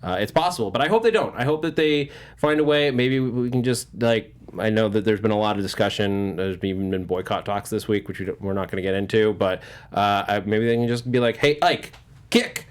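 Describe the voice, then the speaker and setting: A man's voice: fairly low pitch, brisk, clean tone. A man hosting a movie news panel show in a casual, informal chat style.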